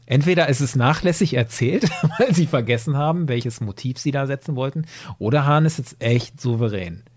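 A man talking casually as a podcast guest, opinionated and expressive in how he makes his points. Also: medium pitch, moderate pace, slightly rough. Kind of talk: casual discussion.

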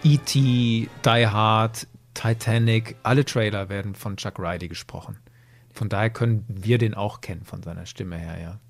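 A man's voice: on the low side, fairly even intonation, normal speed, soft background music. An enthusiastic man chatting as a podcast co-host. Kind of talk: casual discussion. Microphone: close podcast microphone.

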